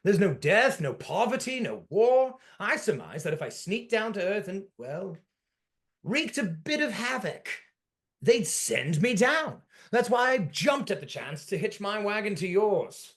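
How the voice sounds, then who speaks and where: A man's voice: high-pitched, big pitch swings, a little slow, exaggerated voice. A man playing a world-weary forty-year-old from another century, formal and fatalistic, in a dramatic table read. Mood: scheming. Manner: formal old-fashioned phrasing, theatrical, fatalistic.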